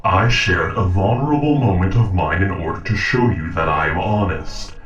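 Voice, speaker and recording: A man's voice: deep voice, a bit bright, highs cut off. A man voicing a polite, logical robot in a scripted audio roleplay, speaking formally and precisely. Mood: conciliatory. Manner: formal, measured, precise diction. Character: polite, logical humanoid robot interrogating a captive human. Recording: roomy echo, distant from mic.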